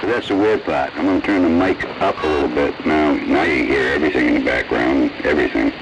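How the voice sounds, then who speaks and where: Very deep-voiced man on noisy CB radio. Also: fast. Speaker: amateur CB radio operator. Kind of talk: casual radio chat. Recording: noisy CB radio transmission, heater running. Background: background noise from heater.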